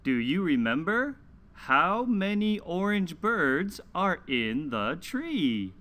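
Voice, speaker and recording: A man hosting a children's read-along, reading aloud and teaching in deliberate, simple phrases for young learners. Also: sits high, very animated, sharp tone. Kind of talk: slow, scripted children's read-aloud lesson.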